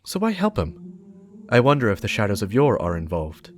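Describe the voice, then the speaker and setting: A man's voice: on the low side, huge pitch swings, moderate pace. A man performing a stern, driven investigator who dictates clipped, hard-boiled field reports in a dramatic reading of game lore. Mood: businesslike, brooding. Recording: music behind the voice.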